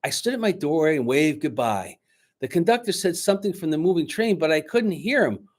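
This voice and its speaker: A man reading a picture book aloud dramatically to children, pausing to coax them with questions like a teacher. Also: on the high side, very animated. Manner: dramatic, teacherly, coaxing young listeners with questions.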